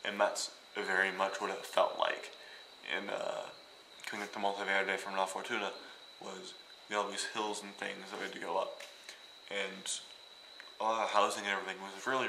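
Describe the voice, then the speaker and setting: A young man from Iowa rambling and joking as he vlogs from a hotel room, now and then bursting out loud. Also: lowish voice, roomy sound, slight background noise, low-quality recording. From Iowa, United States. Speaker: vlogger. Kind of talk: casual travel vlog.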